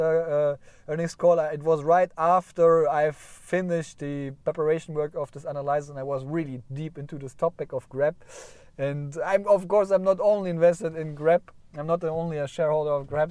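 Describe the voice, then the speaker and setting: A man's voice: fairly high pitch, darkish tone, no echo. A man with a German accent hosting a casual livestream, rambling and correcting himself as he chats with viewers. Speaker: livestream host.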